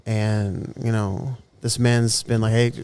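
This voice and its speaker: A man in his thirties, co-hosting a podcast, talking earnestly in a relaxed chat and often repeating words as he gathers his thoughts. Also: lowish voice, slow, clear, resonant tone. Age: 30s. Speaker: podcast co-host. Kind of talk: casual heartfelt chat.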